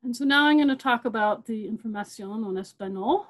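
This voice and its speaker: A woman's voice: fairly high pitch, a little fast. A middle-aged woman librarian giving a webinar over a computer mic. She talks in a loose, conversational way, with many fillers and restarts, and she repeats her key points. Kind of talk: webinar presentation.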